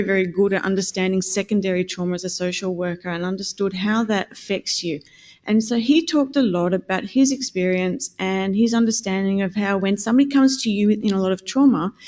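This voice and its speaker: A woman with a naturally quiet voice presents at a conference, talking to a room of nurses through a microphone she sometimes holds a bit too far away. Her delivery is informal and conversational, with small self-corrections and asides to the audience. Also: mid-range voice. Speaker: conference speaker, nurse educator. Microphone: handheld or stand microphone, at times held away.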